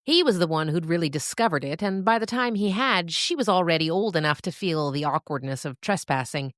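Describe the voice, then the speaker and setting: A woman narrating a scripted story expressively, with dramatic pauses. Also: fairly low pitch, lively intonation, a bit bright, dry recording, clean studio sound.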